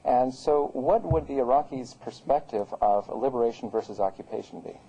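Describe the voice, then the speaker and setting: Dark-voiced man interviewing, dull audio. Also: mid-range voice, normal speed, husky. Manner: hesitant, many uhs, polite. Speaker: host, interviewer. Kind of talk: interview questions.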